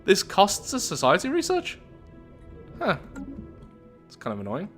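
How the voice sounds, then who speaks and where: A man hosting a video game playthrough, talking through it in an animated, chatty way and thinking aloud. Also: sits high, a little slow, faint music behind. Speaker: YouTube gaming host. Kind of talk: game playthrough commentary, reading event text aloud.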